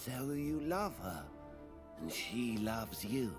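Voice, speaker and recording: A man's voice: medium pitch, sing-song, takes it slowly. A man playing an imperious, cryptic old keeper of knowledge in an audio drama, speaking with grave, theatrical formality. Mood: cool, probing. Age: older. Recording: dramatic music behind the voice.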